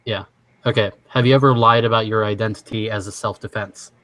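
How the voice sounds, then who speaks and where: A young man from Washington state hosting a casual online chat, easygoing and quick to laugh as he reads quiz statements. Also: fairly low pitch, fairly even intonation, a bit bright, a little hiss or hum. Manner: easygoing, laughs often, halting restarts. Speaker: stream host.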